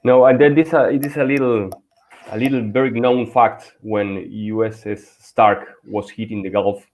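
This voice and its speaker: A man's voice: on the low side, steady tone, normal speed, a little mellow. A man talking as a guest author on a video call, telling an anecdote with many fillers. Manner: storytelling, filler-heavy. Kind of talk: casual chat on a video call.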